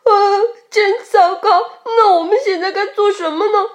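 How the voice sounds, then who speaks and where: A woman's voice: very high voice, unhurried, echoey room, voice pushed up. A woman voicing a timid young rabbit in a children's storybook, trembling and fearful as it speaks. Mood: anxious. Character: timid, whimpering young rabbit, frightened sidekick.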